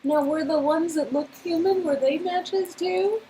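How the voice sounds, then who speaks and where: A woman's voice: extremely high pitch, measured pace, warm tone, a little hiss or hum, poor audio. A woman reading game dialogue with casual, amused banter between the lines. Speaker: reader performing an interactive game's dialogue.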